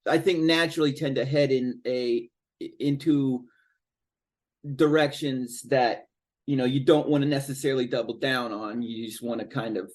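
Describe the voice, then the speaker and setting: A man's voice: medium pitch, steady tone, moderate pace. A man who plays in a band, rambling and searching for his words in a casual podcast chat. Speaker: musician in a band.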